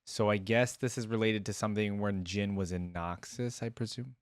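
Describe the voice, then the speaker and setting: A man's voice: lowish voice, fairly even intonation, normal speed, clear, resonant tone, no room sound. A man hosting a reaction video, talking informally and ramblingly as he thinks aloud into a close microphone. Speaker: video host reacting to game voice lines. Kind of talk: casual reaction commentary.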